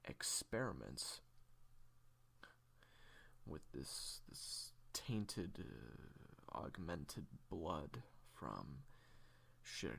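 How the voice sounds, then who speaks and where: A weary, secretive assassin played by a man in an audio roleplay, speaking very low and slowly. The voice is gravelly and often sinks toward a whisper, with halting, deliberate phrasing that rises and falls widely as the grim story unfolds. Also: a bit bright, exaggerated voice. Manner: halting, deliberate, with heavy pauses. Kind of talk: hushed confessional storytelling in audio drama.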